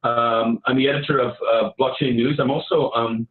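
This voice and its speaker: A man with a Canadian accent giving an informal project pitch in a conversational, somewhat halting way. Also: fairly even intonation, narrow, tinny audio. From Vancouver, Canada. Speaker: editor and hackathon participant pitching a project. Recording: roomy, echoey sound.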